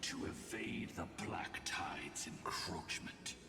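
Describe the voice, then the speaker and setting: An actor playing a grave, weary ancient titan lord in a video game, speaking breathily and with solemn formality. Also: male voice, low-pitched, takes it slowly, vocal fry, whispered, full character voice. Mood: solemn warning. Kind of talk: scripted game drama. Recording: echoing hall, distant, game music behind.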